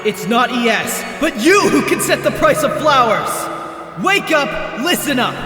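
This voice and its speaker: A very high-pitched, animated young idol ringleader acted by a man in an anime dub. He is showy and taunting, declaiming like a stage agitator in heavy reverb over music. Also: unhurried, heavily acted. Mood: rabble-rousing. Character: brash, theatrical young male idol ringleader, taunting rabble-rouser. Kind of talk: anime fandub acting, stage-speech declamation. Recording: echoey long reverb, loud music behind.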